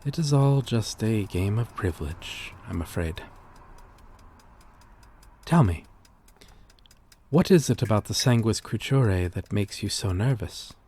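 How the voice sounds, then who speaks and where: A man playing a courteous, melancholy vampire-like creature in an ASMR roleplay, speaking gently and intimately in formal, old-fashioned phrases. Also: on the low side, expressive, a little slow, pauses often, breathy edge, heavily acted. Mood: concerned, confiding. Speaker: roleplay voice performer.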